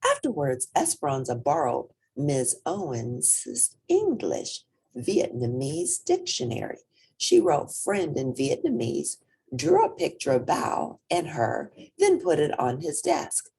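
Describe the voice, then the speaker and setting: A woman reading a children's picture book aloud in an animated, dramatic way, with a husky, breathy voice that drops into whispery tones and lingers on certain words. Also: low-pitched, slow. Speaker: storybook reader.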